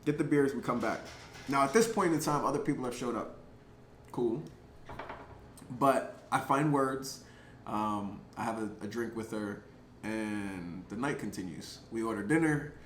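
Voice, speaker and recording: A man telling a personal story casually to camera, chatty and animated, with lots of filler words. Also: medium pitch, a little slow. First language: English. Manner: chatty, lots of "like" and "um" fillers, laughs between thoughts; animated, gets louder at exciting moments. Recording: roomy echo, faint background noise.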